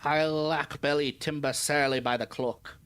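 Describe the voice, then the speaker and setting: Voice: medium pitch, fairly even intonation, measured pace, fairly bright tone, clean tone, close to an everyday voice. A reader doing a brusque old sailor. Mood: grumbling, departing. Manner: brusque, dismissive, rustic dialect. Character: very old gruff skeptical English sailor, plain-spoken.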